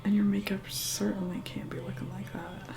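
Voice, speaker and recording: A woman's voice: medium pitch, flat delivery, normal speed, gravelly, noisy background, close to an everyday voice. A woman playing a haughty, impatient immortal vampire in a whispered ASMR role-play, curt, condescending and bossy as she reluctantly helps. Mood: annoyed, dismissive. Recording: close whispered voice under loud music. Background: music.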